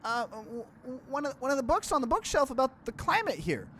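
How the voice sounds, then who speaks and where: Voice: sits high, sing-song, a little fast, a bit bright, slight background noise, voice pushed up, full character voice. A game streamer voicing a timid, earnest, bookish young man who stammers and hesitates. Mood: flustered.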